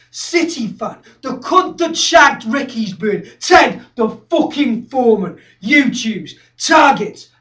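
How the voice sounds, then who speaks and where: A man's voice: extremely high pitch, full character voice. An actor performing a theatrical monologue as an obsessive, confrontational young male skinhead who relives his violent past. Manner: obsessive, confrontational, repetitive, swinging from hushed to shouting. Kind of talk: theatrical dramatic monologue, direct address. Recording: roomy echo, distant microphone.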